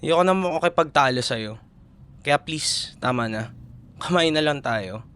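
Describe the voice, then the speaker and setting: A man's voice: a little high, lively intonation, measured pace, a bit bright, clear, resonant tone. A man acting as caring, exasperated young Filipino boyfriend. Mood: frustrated, hurt. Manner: casual Taglish, coaxing and earnest. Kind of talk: boyfriend roleplay audio, intimate conversational acting.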